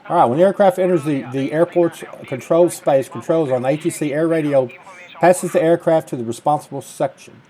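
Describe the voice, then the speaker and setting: A man's voice: fairly high pitch, a little fast, dark tone. A middle-aged man, casually commentating on gameplay and reading instructions aloud. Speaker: gaming video host. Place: indoors at a computer, webcam.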